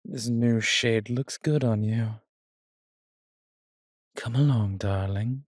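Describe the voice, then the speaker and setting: A man's voice: medium pitch, takes it slowly, no room sound, studio quality. A man playing a suave, weary vampire with courtly, fond phrasing in an intimate roleplay audio drama. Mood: seductive, sly. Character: weary, suave male vampire, protective and darkly charming.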